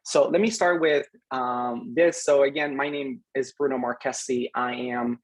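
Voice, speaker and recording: Reflective man from Peru, husky voice. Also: mid-range voice, fairly even intonation. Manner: reflective, unhurried, frequent fillers. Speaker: program director giving a graduation message. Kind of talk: recorded address to graduating students.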